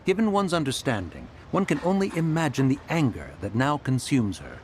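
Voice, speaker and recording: Proud archaic mountain adeptus, voiced by a man; expressive rise and fall, formal sighing delivery. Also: mid-range voice, fairly quick, slight background noise, close to an everyday voice. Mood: stately. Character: proud old male mountain adeptus in human form. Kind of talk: game voice acting.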